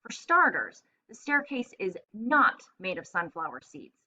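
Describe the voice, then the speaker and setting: Smug, exclamatory small male hamster for a storybook, performed by a woman; lively delivery, slightly dull recording. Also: medium pitch, unhurried, heavily acted. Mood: indignant. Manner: self-satisfied, exclamatory, asks and answers himself. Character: boastful, excitable little male hamster diarist.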